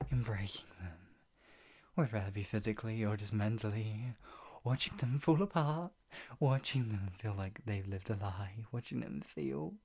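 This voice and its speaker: An actor playing a sadistic, gloating yandere in an ASMR roleplay, with a breathy, husky voice that often drops to a whisper. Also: mid-range voice, a little mellow. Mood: gloating, excited. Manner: menacing, slow deliberate phrasing with sighs. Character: sadistic, gloating, murderous yandere obsessive taunting a captive. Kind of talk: improvised ASMR roleplay, intimate monologue.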